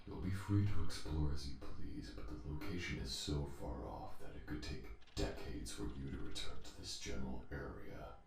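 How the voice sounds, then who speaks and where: A man playing a calm, calculating machine intelligence in a sci-fi audio drama, formal and detached, through an echoing synthetic effect. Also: rumbling low, normal speed, gravelly, hardly any pauses, music in the background, heavily acted. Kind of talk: scripted ASMR audio drama acting. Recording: echoing, distant sound with faint hiss.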